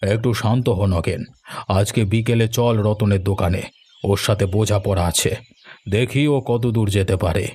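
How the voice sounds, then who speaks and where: A man's voice: fairly low pitch, fairly even intonation, a little slow, a little mellow, husky. A man voicing a menacing, scheming village headman in a cartoon, commanding and scolding. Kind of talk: cartoon dialogue.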